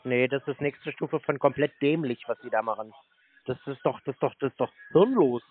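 A man's voice: medium pitch, a little fast. A man in a mocking phone conversation, talking bluntly and sarcastically, scolding the other party. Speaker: scam baiter. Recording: tinny phone-like sound, clear noise.

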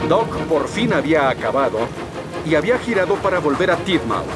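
A man's voice: on the high side, very animated, fairly quick. A man narrating a scripted children's cartoon story. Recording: loud music over voice.

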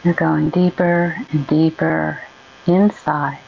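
Woman, meditation guide, lowish creaky voice, gentle and soothing, unhurried delivery, muffled lo-fi sound. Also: steady tone, slight background noise. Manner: gentle, unhurried, with long pauses.

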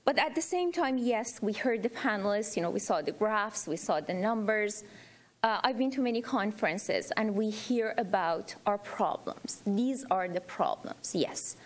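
Woman, from Iran, mid-pitched voice, earnest, emphatic, forum audience speaker, repetition for stress. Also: animated, moderate pace. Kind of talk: impromptu public remarks to a panel.

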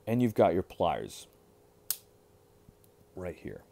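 A man casually showing a pocket knife to the camera at a table indoors, relaxed and unhurried, with fillers and trailing sentences. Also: low voice, vocal fry, a little hiss or hum. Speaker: knife collector reviewer. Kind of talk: casual show-and-tell. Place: indoors at a cutting-mat table. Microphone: overhead camera on hands, voice from off-frame.

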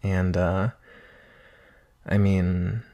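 A man's voice: deep voice, flat delivery, very slow, dark tone, heavily acted. A man playing a shy, earnest nineteen-year-old naga monster boy in a conversational ASMR roleplay, hesitant, nervous and apologetic. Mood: friendly, tentative.

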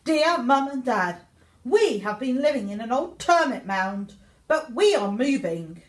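A woman's voice: fairly high pitch, very animated, measured pace. A woman reading a children's picture book aloud in an exaggerated, theatrical way, as a young meerkat writing letters home. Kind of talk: expressive children's story reading. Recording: echoey room.

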